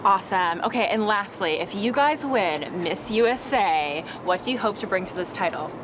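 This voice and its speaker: A woman's voice: mid-range voice, normal speed, background noise. A young woman hosting a live pageant pre-show, bubbly and gushing as she interviews guests. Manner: bubbly, gushing, laughs often. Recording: lively crowd behind.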